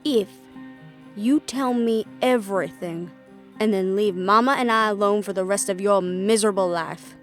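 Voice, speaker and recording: A woman's voice: mid-range voice, normal speed, soft background music, lightly acted. A woman playing a defiant, fiercely independent half-fae daughter, blunt and sarcastic, in a fantasy audio drama. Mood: smug bargaining. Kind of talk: fantasy audio roleplay acting.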